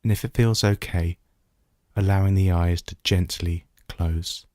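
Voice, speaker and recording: Slow, deep-voiced male meditation guide. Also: steady tone, no echo, studio quality. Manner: gentle, unhurried instruction with measured pauses. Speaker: meditation teacher. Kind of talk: guided body scan meditation.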